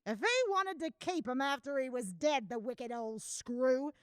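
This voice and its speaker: Woman playing a high, brazen laundress. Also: unhurried, smooth, no echo, studio quality. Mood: brazen scorn. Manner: brash, animated, theatrical. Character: brazen, scornful working-class laundress. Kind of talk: radio drama acting.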